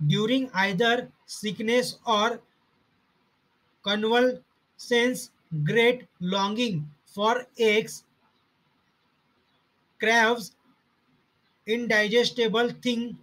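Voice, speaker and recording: Slow, bright-voiced man reading notes. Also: very high-pitched, fairly even intonation, poor audio. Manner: halting, reads items in short fragments. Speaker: homeopathy study narrator.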